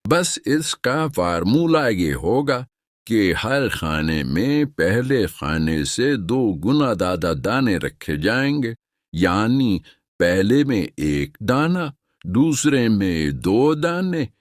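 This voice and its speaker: Humble inventor, cartoon tale, confident, low voice, slow, lilting. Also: male voice, sing-song, no room sound, clean studio sound. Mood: respectful, measured. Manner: deliberate, respectful, confident. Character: humble, self-assured inventor addressing a king. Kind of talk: cartoon story voice acting in Urdu.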